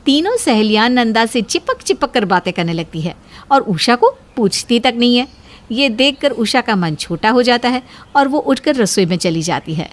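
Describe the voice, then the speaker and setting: A woman's voice: fairly high pitch, lively intonation, normal speed. A woman narrating a scripted story.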